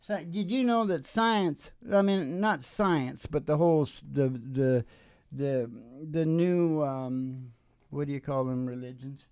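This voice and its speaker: An older man chatting casually as a show host and singer, in a halting, opinionated, wry manner. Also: a little high, a little mellow, clean tone, no room sound. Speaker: host and singer of a father-daughter music podcast. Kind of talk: casual rambling chat between songs. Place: small dim curtained stage indoors. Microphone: microphone on a stand, close to mouth. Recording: dull lo-fi live sound with acoustic guitar. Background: acoustic guitar music.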